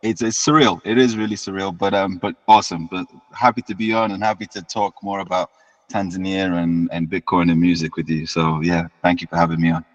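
A man in his thirties speaks with a fairly low voice, easing through his story as a guest and doubling back on words as he goes. The recording sounds dull and lo-fi, with a long reverb hanging behind him. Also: fairly quick. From United Kingdom. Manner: relaxed storytelling, often repeats words as he restarts. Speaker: guest musician. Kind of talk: casual interview chat.

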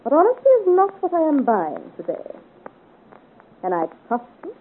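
A haughty noblewoman plotting in a period radio adventure. Her voice is high and wide-ranging, with a commanding theatrical edge. The old recording is narrow and muffled. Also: big pitch swings, normal speed, a little hiss or hum. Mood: cold, commanding. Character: haughty, scheming noblewoman villain of seventeenth-century France. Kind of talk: 1950s radio serial acting.

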